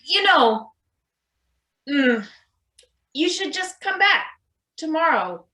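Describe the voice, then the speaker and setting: Woman acting bright-voiced wry worker in echoey room. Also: high voice, very animated, slow, full character voice. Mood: awkward, reassuring. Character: wry, sympathetic maintenance worker named Izzy. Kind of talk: table read of a satirical stage play. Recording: roomy echo on the voice.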